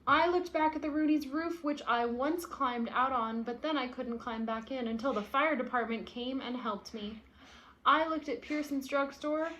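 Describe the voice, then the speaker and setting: A woman's voice: high voice, a bit bright, dull audio. A woman reading the part of a stubborn, indignant young boy from a picture book, with emphatic delivery. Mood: wistful. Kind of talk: picture-book read-aloud.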